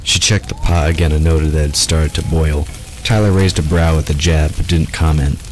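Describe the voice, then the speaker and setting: A man's voice: extremely low pitch, steady tone, moderate pace, a little hiss or hum. A man reading scripted fiction as the narrator of an audio drama.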